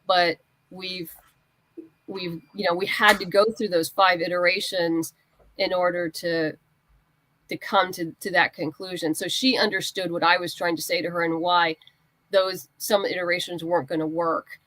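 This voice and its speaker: A woman's voice: on the low side, little pitch movement, normal speed, a bit bright, low-quality recording. A woman speaking as an interview guest, a writer explaining her creative work informally through anecdotes and quoted exchanges. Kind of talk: conversational interview answers, anecdotes.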